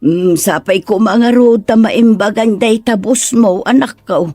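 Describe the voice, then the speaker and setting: A woman playing a gentle, concerned middle-aged mother in an Ilocano radio drama, with a husky voice. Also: medium pitch, unhurried, dry recording, close to an everyday voice. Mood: concerned, tender.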